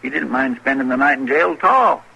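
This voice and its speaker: An actor playing a folksy, unhurried deputy with a rural Western American drawl in an old radio western. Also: male voice, medium pitch, animated, vocal fry, bright, forceful tone, breathy edge, exaggerated voice. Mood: evasive, casual. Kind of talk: 1950s radio western acting. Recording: noisy old radio recording.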